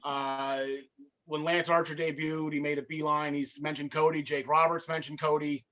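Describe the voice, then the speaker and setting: A man with a North Jersey accent co-hosting a wrestling podcast, talking fast and excitedly with lots of restarts. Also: on the high side, muffled recording. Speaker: podcast co-host. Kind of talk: casual wrestling chat.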